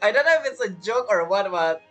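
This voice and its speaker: Male gaming commentator: forceful bright tone, animated intonation, casual and amused, with halting phrasing. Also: high-pitched. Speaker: gaming content creator playing through a story quest. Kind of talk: casual live game commentary. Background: game music.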